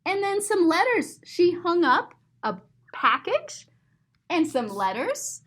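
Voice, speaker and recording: A woman with a high, very lively voice reads a picture book to young children. She takes her time and is playful and expressive. She often steps out of the story to describe and explain things in simple words. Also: slow. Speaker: storyteller and teacher.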